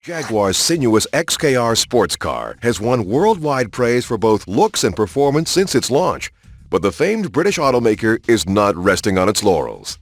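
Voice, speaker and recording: A man reading scripted promotional documentary narration in a polished, measured announcer style. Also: medium pitch, sing-song. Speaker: narrator of a racing game's car showcase. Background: music.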